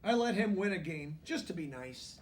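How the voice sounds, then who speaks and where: High-voiced man reading a storybook, distant mic. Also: lively intonation, normal speed. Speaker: storybook reader. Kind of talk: children's book read-aloud with brief asides.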